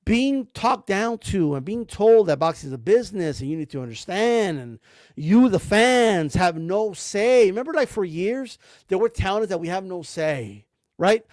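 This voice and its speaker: A man's voice: high-pitched, animated, normal speed, darkish tone, dry recording. A man giving blunt, rambling commentary about boxing, full of slang and cursing. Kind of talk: casual ranting commentary.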